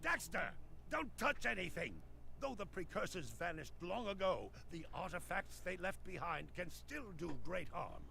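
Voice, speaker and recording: Gravelly, solemn old sage. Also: male voice, high-pitched, big pitch swings, moderate pace, a little hiss or hum, heavily acted. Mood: stern. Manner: solemn, grandiose, admonishing. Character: wise, stern elderly male sage mentor. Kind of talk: video game cutscene narration and dialogue. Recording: game audio with loud music behind.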